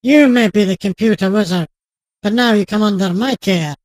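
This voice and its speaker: A woman acting a stern, sharp-tongued doctor in a radio drama, with a commanding, no-nonsense manner. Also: mid-range voice, moderate pace, dry recording, lightly acted.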